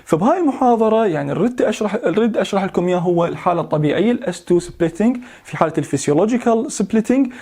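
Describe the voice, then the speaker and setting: A man's voice: high voice, dark tone. A young man giving a medical lesson, mixing in English terms and imitating heart sounds aloud. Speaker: medical lecturer.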